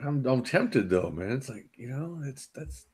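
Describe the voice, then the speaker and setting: Man, mid-range voice, very animated intonation, casual live stream chat, joking, rambling, sweary. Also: medium pitch, a little slow, breathy edge.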